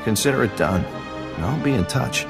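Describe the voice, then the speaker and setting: An actor playing a friendly, smooth-talking intelligence agent in a video game, easygoing and teasing. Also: male voice, mid-range voice, lively intonation, moderate pace. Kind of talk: scripted video game acting. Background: music.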